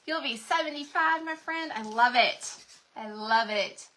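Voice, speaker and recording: A woman's voice: high-pitched, a little slow, bright, forceful tone. A woman, chatting folksily with viewers while demonstrating crafts live from home. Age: 40s. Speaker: craft channel host.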